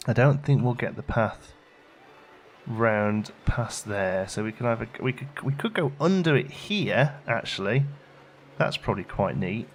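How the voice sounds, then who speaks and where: A man giving casual, low-key gameplay commentary as a video host, thinking aloud and muttering to himself as he works. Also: lively intonation, measured pace, faint music behind. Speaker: let's play video host.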